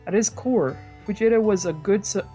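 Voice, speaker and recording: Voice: medium pitch, normal speed, warm tone. Streamer narrating over game music. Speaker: streamer reading a visual novel aloud. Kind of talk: scripted narration read aloud in a let's play. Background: visual novel music.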